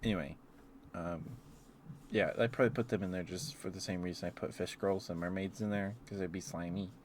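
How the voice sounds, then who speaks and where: Low-pitched man rambling in casual ASMR. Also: steady tone, brisk, a little hiss or hum. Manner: hesitant, musing aloud with trailing thoughts. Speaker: streamer.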